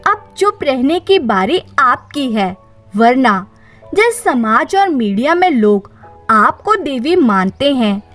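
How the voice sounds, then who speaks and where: Woman acting defiant young wife, high-pitched. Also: very animated, fairly bright tone, soft background music, full character voice. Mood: coldly defiant. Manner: deliberate, cutting, emphatic. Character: wronged young wife turned bold and defiant.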